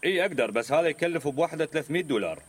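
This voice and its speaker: Actor as sly, very fast-talking Iraqi man. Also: on the high side, lively intonation, a little hiss or hum, natural voice. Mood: bargaining. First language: Arabic. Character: short, stocky, sly Iraqi man bargaining over money. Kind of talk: radio drama acting.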